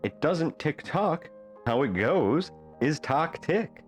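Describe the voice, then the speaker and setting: A man reading a rhyming children's bedtime story aloud in a playful, theatrical manner with deliberate phrasing. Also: on the high side, sing-song, faint music behind. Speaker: storybook reader.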